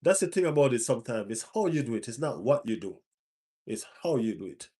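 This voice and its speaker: A man's voice: mid-range voice, sing-song, brisk, warm tone, slightly rough. Stuffy-nosed male streamer, laid-back chat, repeats lines for emphasis, mid-range voice. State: stuffy nose. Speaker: football fan streamer. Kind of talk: casual live stream chat.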